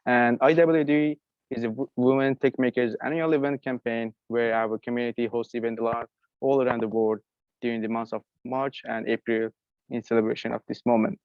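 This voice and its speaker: A man's voice: mid-range voice, flat delivery, moderate pace, no room sound. A young man giving a prepared presentation as a facilitator of an online event. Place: online video call. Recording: dry, dull lo-fi call audio.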